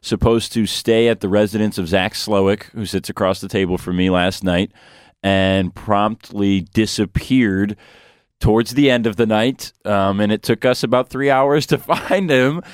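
Smooth, low-voiced young male sports host. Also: moderate pace, dry recording, clean studio sound. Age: young adult. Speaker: sports talk show host. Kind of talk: casual sports radio talk.

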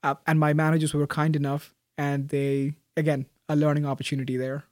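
A young man, a graduating student, answering interview questions in a thoughtful, soft-spoken way with frequent fillers and restarts. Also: on the high side, monotone, brisk, no echo, clean studio sound. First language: Malayalam. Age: young adult.

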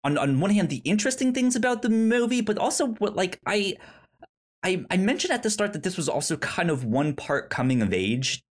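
Podcast co-host, mid-pitched voice, casual film chat, halting, stammered repetitions. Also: very animated. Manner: halting, stammers on repeated syllables.

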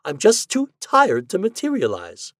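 A man's voice: sits high, wildly swooping pitch, unhurried, no room sound, clean studio sound, pitched above the performer's own voice, exaggerated voice. A man voicing a haughty, tired lady ghost in a story, indignant, prim and exasperated. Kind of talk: storybook character voice.

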